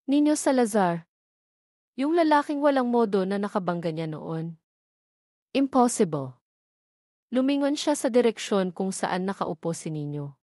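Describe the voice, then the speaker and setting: A woman tells a romance story in scripted, dramatic narration. Her voice sits at a medium pitch. The sound is dry, with little room to it. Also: darkish tone, no room sound.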